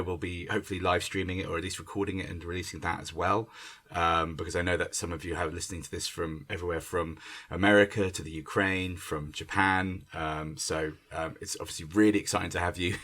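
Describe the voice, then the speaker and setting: Husky, low-voiced man talking haltingly. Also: a bit bright. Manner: hesitant, searching, with frequent stumbles and repeated words. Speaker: theatre director. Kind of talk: unscripted conversation introducing a creative team. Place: remote internet call. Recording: online call recording.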